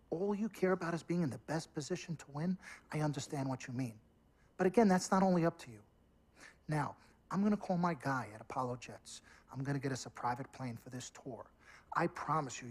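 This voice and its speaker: A man's voice: a little high, poor audio. A man playing a pushy, persuasive sports agent in a game cutscene, speaking in emphatic, speechifying style. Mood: smooth, reassuring. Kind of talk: scripted game cutscene acting.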